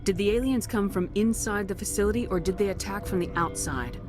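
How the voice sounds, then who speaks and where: A woman's voice: medium pitch, a little fast, over music, natural voice. A woman playing a direct, assertive military commander in a video game, clipped and businesslike. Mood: firm, probing. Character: no-nonsense female military commander, questioning and assertive. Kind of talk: video game dialogue acting.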